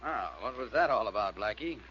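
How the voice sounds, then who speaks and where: An actor playing an anxious, earnest doctor in a 1940s radio drama, mild and concerned in manner. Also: male voice, fairly low pitch, animated, fairly quick, lightly acted. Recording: muffled, hissy vintage radio recording.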